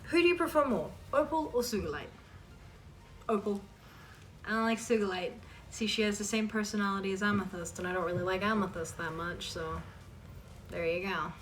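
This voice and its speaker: A woman's voice: mid-range voice, brisk, pauses often, recorded from a distance, a little hiss or hum. A woman hosting a casual question-and-answer chat for her viewers, informal and emphatic as she reads questions aloud and answers them.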